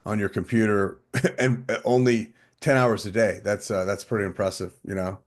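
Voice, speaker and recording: Low-voiced male podcast host. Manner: relaxed, quick backchannels, brief laughs. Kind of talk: casual interview questions.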